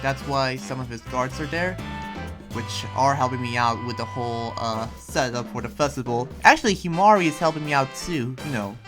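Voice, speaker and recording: Someone playing an earnest town preacher in an improvised anime game roleplay, speaking haltingly and hesitantly. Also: medium pitch, close to an everyday voice. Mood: friendly, explaining. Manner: halting, hesitant, often trailing into "you know". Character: Yuma, earnest town preacher busy planning a festival. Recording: music behind.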